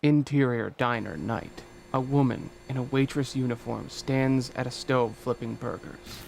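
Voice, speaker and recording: A man's voice: measured pace, vocal fry, rough recording. A man reading out a screenplay as narrator, in a dramatic scripted style, at a table read. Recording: loud ambient rumble behind voice.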